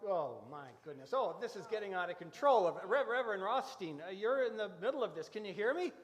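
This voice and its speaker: High-voiced, fretful male reporter, acted by a man. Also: animated, brisk. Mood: excited, alarmed. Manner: hesitant, full of uhs. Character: fretful, excitable male on-the-spot festival reporter. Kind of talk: comedic radio play acting, live commentary. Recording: echoey hall.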